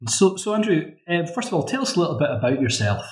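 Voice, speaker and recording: A man's voice: fairly high pitch, very animated, quick pace. Formal male podcast host, echoey room. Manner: polite, formal, introduces and quotes. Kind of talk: interview host. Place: university office. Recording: roomy echo.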